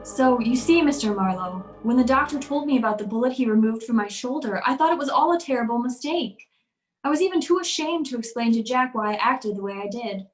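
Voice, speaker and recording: A woman's voice: a little high, animated, a little fast, soft background music, close to an everyday voice. A woman playing an anxious, well-to-do wife in an old-time radio drama, pleading and earnest. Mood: grave, pleading. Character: anxious wealthy wife convinced her husband wants her dead. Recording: roomy, echoey sound.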